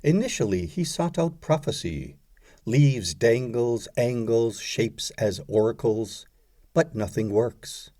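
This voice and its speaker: A man narrating a poetry audiobook, reciting scripted verse in a measured, deliberate way. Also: mid-range voice, mellow, no room sound, clean studio sound.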